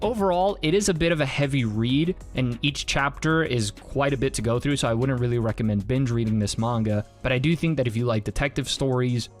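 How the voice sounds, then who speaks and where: A man narrating a scripted review in the manner of an online video creator. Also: mid-range voice. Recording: music under the voice. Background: background music.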